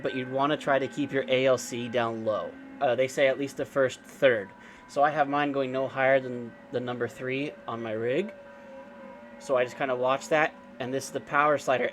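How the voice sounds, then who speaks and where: A man with a mid-pitched voice walks through a software tutorial in an informal, unscripted way. He explains things casually, one step at a time. Ambient noise sits behind his voice in what sounds like a home recording. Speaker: amateur radio operator giving a software tutorial. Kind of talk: informal screen-share tutorial, unscripted walkthrough.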